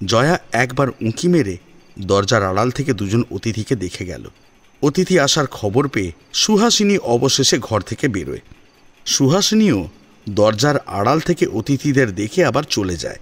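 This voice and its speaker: A man narrating a scripted audio drama in an expressive storytelling style. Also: lowish voice.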